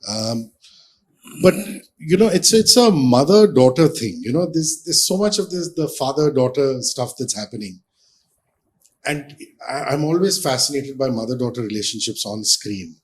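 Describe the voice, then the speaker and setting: A man's voice: mid-range voice, animated, moderate pace, breathy edge. A man hosting a celebrity interview, effusive and full of compliments. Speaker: interviewer host.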